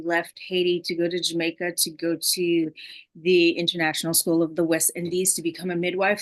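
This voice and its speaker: A woman with a New York accent is a guest on a podcast. She talks in a fairly low voice and tells stories in a lively way, acting out snippets of dialogue. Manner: lively, animated storyteller who acts out dialogue. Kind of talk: casual interview chat.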